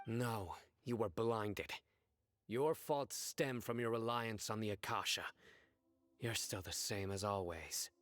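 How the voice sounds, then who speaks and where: A man playing a stern, stoic enforcer of justice in an anime game, speaking with grave, deliberate command. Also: on the low side. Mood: cold, accusing. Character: stern, righteous, stoic male judge and enforcer. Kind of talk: dramatic anime game voice acting.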